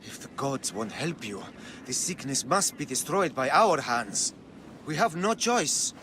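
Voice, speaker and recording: An actor playing a stern, fanatical priest, solemn and ominous, in a dramatic game cutscene. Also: male voice, medium pitch, sing-song, unhurried, background noise. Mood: grim resolve. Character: stern, fanatical male priest, grimly resolved.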